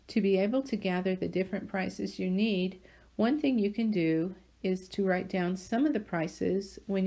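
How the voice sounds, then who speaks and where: Female tutorial narrator, lowish and mellow with vocal fry, steady scripted read. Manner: even, instructional reading. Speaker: channel host. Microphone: voice-over over slides.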